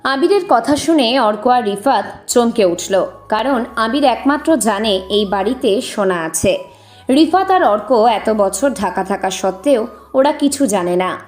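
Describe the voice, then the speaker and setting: A woman's voice: on the high side, animated, measured pace, darkish tone, faint music behind. A woman giving scripted narration for a dramatised audio story.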